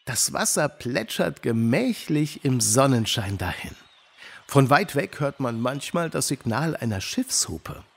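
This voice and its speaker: Friendly adult mentor in kids' radio play; husky, animated, encouraging. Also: male voice, medium pitch, unhurried, exaggerated voice. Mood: friendly, storytelling. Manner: calm, encouraging, lively storytelling. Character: Mike, friendly protective grown-up male storyteller. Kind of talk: children's audio drama acting.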